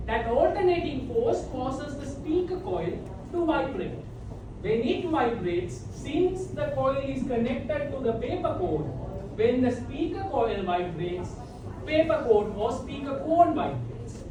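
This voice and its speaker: A woman teaching a class as a lecturer, explaining step by step with repetition, recorded from the back of a large classroom. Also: sits high. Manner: repetitive step-by-step explaining, often checking understanding. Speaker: physics teacher. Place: large tiled indoor classroom full of students. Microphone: far camera at back of room. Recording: distant, very echoey classroom with noisy room ambience.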